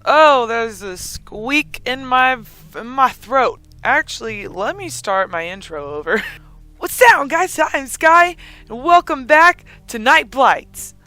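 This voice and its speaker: A gaming host with a hoarse, raspy, squeaky voice, chatting casually over gameplay with a lot of giggling and rambling ums. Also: animated, slow pace, sharp tone, dry recording. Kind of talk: casual let's-play commentary.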